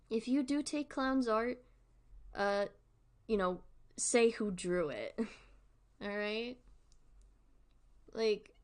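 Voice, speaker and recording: A woman giving candid, casual livestream commentary, talking haltingly with repeated words and emphatic asides. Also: medium pitch. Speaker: streamer and artist.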